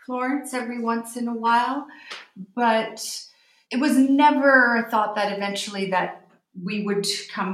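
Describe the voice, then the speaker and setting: A woman from Canada in her forties talks as a guest in an interview, thinking aloud and restarting her sentences. Her voice sits at a middle pitch. The recording carries a noticeable roomy echo. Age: 40s. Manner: reflective, pausing and restarting phrases. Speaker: guest, magazine editor. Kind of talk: interview conversation.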